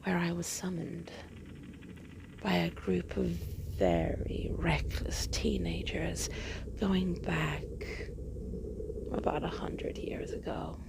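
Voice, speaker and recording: A woman playing a seductive, sly demon who owns a bar, in a dark roleplay audio, teasing and savouring her words. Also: lowish voice, fairly even intonation, takes it slowly, creaky, pauses often, in a whisper, heavily acted, poor audio. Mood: sly, gloating. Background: music.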